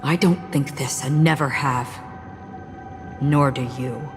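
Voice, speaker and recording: An actress playing a stoic, philosophical swordswoman in a video game cutscene, speaking in a grave, measured, formal way. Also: a bass voice. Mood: gentle, philosophical. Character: solemn, stoic female swordswoman, cryptic and philosophical. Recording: long reverb, music behind the voice.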